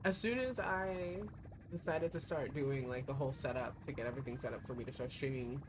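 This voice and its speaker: Hoarse young woman streamer, chatty. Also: a bass voice, fairly quick, noisy background. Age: young adult. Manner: rambling, chatty, lots of fillers. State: hoarse, voice giving out. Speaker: VTuber streamer. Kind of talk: casual livestream chat while playing a gacha game. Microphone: desk streaming mic. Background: game music.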